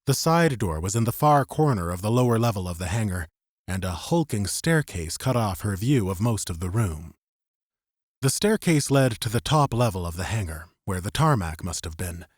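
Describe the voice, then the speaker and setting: Low, husky male audiobook narrator. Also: sing-song, moderate pace, dry recording, studio quality. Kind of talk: scripted fiction narration.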